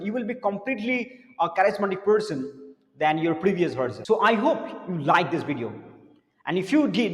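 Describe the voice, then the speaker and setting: A man who teaches spoken English, giving an earnest motivational lesson to camera, repeating words and dropping his voice low for serious points. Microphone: camera mic, speaking to camera. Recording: echoey room with long reverb.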